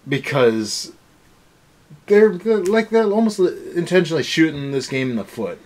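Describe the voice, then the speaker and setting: A man's voice: fairly high pitch, very animated, unhurried, a little mellow. A young man co-hosting a casual review show, ranting in a sarcastic, exasperated way. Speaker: anime review show co-host.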